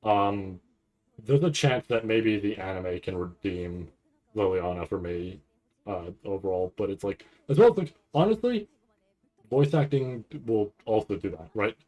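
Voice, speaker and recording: A man giving casual live reaction commentary, nervous and rambling, halting and repeating his words as he goes. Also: lowish voice, expressive, a little mellow, low-quality recording. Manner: anxious, nervous anticipation. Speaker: anime commentator reacting to a livestream event.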